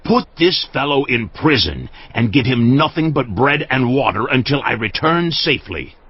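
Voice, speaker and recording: Resentful, commanding monarch for scripture audio drama: husky, highly animated intonation, theatrical. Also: male voice, moderate pace, no room sound, muffled recording. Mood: stern command. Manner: imperious, theatrical delivery. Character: proud, resentful king of Israel, commanding.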